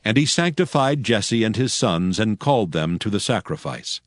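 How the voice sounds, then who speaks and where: A man's voice: on the low side, very animated, moderate pace, no echo, studio quality. A man narrating scripture as a solemn, scripted dramatic story. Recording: prominent music bed behind voice.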